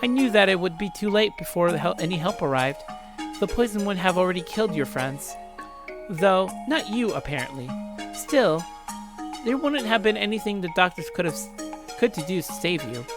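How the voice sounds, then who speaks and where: A let's player voicing a calm, condescending schoolgirl killer from a visual novel, composed and lecturing. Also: mid-range voice, moderate pace, over music, natural voice.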